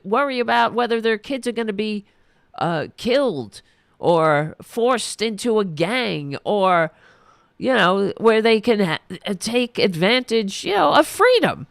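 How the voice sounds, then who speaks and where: A woman's voice: on the high side, animated, a little slow, vocal fry, clean tone, no echo, studio quality. A woman, hosting a political podcast, ranting in a heated, profane, sarcastic way while losing her voice. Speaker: podcast host. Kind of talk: angry political rant.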